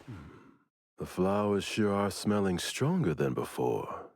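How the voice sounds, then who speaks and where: A man playing a gruff, cautious companion in a video game, with a gravelly voice. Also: low-pitched, sing-song, measured pace, a little mellow, exaggerated voice. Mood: guarded. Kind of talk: video game character dialogue.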